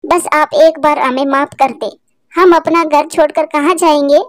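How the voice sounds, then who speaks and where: A woman's voice: high voice, fairly even intonation, moderate pace, no room sound, studio quality, close to an everyday voice. A woman voicing an anxious, emotional mother sparrow in a cartoon, devoted and pleading.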